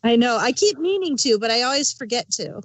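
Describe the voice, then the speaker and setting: High-voiced woman in dry close sound. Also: expressive, brisk, no room sound. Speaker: review podcast panelist. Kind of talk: casual chat.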